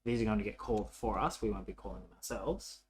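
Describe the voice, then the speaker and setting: A man presenting a programming tutorial in a casual, unscripted way, haltingly thinking aloud as he works. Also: lowish voice, slight background noise. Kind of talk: casual coding walkthrough, unscripted.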